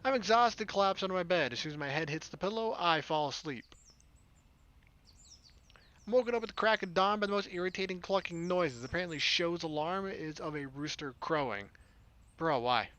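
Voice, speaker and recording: A man's voice: high voice, expressive, a little fast, bright, forceful tone. A man casually reading visual novel narration and dialogue aloud as a let's player. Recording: game music behind the voice.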